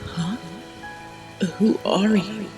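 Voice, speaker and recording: A woman voicing an elderly, gentle grandmother pony turned tortoise, reflective, in an audio drama. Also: mid-range voice, steady tone, extremely slow, over music. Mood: reflective, awed.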